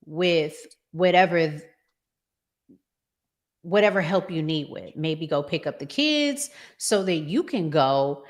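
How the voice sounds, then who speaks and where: Slow, emphatic woman talk host. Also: sits low, animated. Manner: emphatic, repeats phrases for emphasis. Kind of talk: conversational relationship advice talk.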